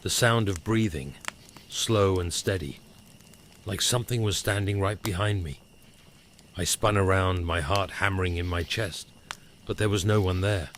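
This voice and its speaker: A man's voice: low voice, normal speed, a bit bright. A man narrating a scripted first-person scary story in a dramatic, suspenseful way, as a horror storyteller. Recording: clear background crackling noise. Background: crackling fire ambience.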